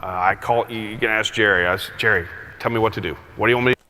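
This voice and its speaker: A man's voice: on the low side, fairly even intonation, racing, bright, forceful tone, a little hiss or hum, poor audio. A man speaking casually as an interview guest, often adding "you know what I mean". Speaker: search volunteer guest.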